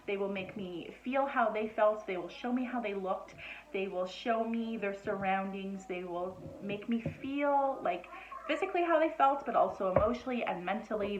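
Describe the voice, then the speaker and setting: A woman in her thirties, originally from Nova Scotia, chats casually to the camera about herself. She rambles a little, with plenty of ums and self-corrections, at a middle pitch. The recording sounds roomy and a bit dull, like a home setup. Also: noisy background. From Nova Scotia, Canada. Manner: chatty and relaxed, many ums and restarts. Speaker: psychic medium introducing herself.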